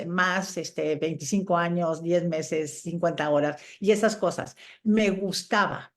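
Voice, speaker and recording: A middle-aged woman with a slightly low voice gives casual interview answers. She grew up in Chile and Mexico and talks in a conversational, anecdotal way, as if retelling memories. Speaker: interviewed scientist guest.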